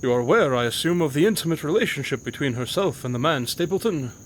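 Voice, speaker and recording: An actor playing a shrewd, composed detective in an audio drama, with formal, precise, theatrical diction. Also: male voice, a little high, expressive, fast talker, a little hiss or hum, close to an everyday voice. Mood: composed, explaining. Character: shrewd, composed male detective, commanding and quick-witted.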